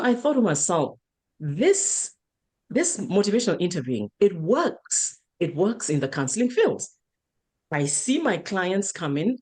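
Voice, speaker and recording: A woman's voice: deep voice, darkish tone. A woman in her fifties with a Kenyan accent, a counselor talking earnestly in an interview, often repeating words and restarting. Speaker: counselor and trainer. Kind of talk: casual interview conversation.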